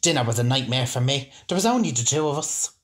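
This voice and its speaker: A man acts out a simple, earnest Irish ex-prisoner in a radio comedy. He talks in a mild, deadpan way, as if killing were an everyday matter. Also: mid-range voice, quick pace, close to an everyday voice. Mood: earnest, aggrieved. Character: mild, matter-of-fact male ex-prisoner who killed his mammy. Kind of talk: comedy radio drama acting.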